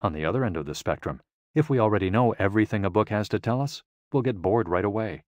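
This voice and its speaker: Male audiobook narrator, low register, measured explanatory read, dry close sound. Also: animated, a little mellow, dry recording.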